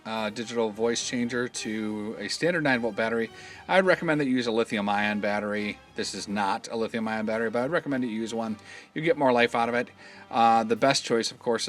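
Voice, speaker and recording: Casting: male product demonstrator, medium pitch, informal and halting, walking through setup step by step, music underneath. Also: a bit bright. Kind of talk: hands-on instructional demonstration.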